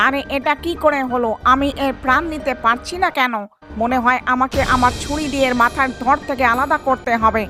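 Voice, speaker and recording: A woman's voice: high-pitched, a little fast, over music, lightly acted. A woman voicing a menacing three-headed witch in a horror cartoon, speaking in threatening declarations. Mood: baffled, scheming. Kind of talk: cartoon horror story acting.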